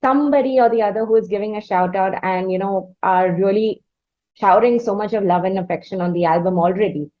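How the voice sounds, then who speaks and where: A woman's voice: mid-range voice, fairly quick. Woman interviewer, effusive, slightly dull audio. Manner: effusive, rambling, hesitant. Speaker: interviewer, host. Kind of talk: conversational music interview.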